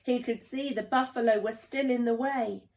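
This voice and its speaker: A woman reading a children's storybook aloud in a deliberate, dramatic storytelling manner. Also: fairly high pitch, fairly even intonation, a little mellow. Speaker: play therapist reading a children's book. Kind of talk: expressive children's storybook narration.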